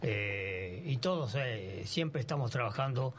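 An old Argentine man, close to eighty, speaks as a guest runner on a radio show. He talks haltingly, with many pauses and fillers, and sounds earnest. Faint noise sits behind his mid-pitched voice. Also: animated. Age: older. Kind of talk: casual radio interview.

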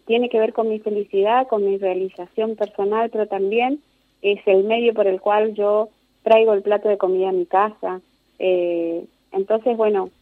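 A woman of about fifty with an Argentine Spanish accent speaks in a reflective, conversational way, as a guest explaining herself. Her voice sits at an ordinary pitch. It comes through a thin, phone-like connection with very little room sound. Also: no echo. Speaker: interview guest, singer and singing teacher. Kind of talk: conversational interview answers. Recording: narrow tinny phone-like line.

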